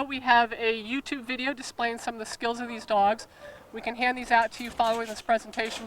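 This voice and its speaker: A woman's voice: on the high side, a bit bright, slight background noise, low-quality recording. A woman police official delivering a prepared statement at a press conference. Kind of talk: prepared public address.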